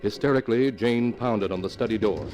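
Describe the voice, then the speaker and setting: An actor playing a solemn, mysterious storyteller, narrating a 1940s radio mystery in a measured, portentous manner. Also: male voice, lowish voice, a little mellow, soft background music, lightly acted. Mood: solemn, ominous. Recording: dull, band-limited vintage radio recording.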